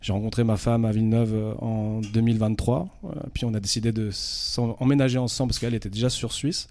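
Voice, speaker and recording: A man's voice: fairly low pitch, little pitch movement, a little fast, darkish tone. A man in his thirties from France, a radio guest chatting casually, hesitant with many fillers and restarts. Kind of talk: casual interview talk.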